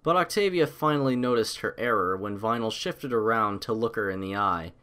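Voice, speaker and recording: A man reading a story aloud as scripted audiobook narration, in a measured, literary storytelling manner. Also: lowish voice, sharp tone.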